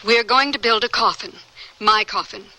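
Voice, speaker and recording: An actress playing a devoted, serene woman scientist facing death with fervent conviction, in measured, earnest old-time radio drama style. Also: medium pitch, sharp tone, poor audio. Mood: fervent, visionary. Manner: theatrical, earnest, measured delivery.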